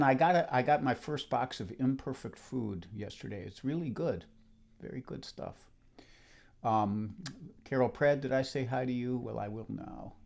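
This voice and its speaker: A man in his seventies with a croaky voice, chatting casually and in a rambling, self-deprecating way between songs on his live stream. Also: mid-range voice, a little mellow. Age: 70s. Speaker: musician hosting a live stream. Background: acoustic guitar.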